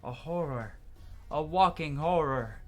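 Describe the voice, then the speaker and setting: A game player voicing a frantic, paranoid witness, loudly and dramatically drawing out exclamations. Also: medium pitch, soft background music, natural voice. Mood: frantic, horrified. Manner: loud, dramatic, drawn-out exclamations. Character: frantic paranoid witness ranting about horrors.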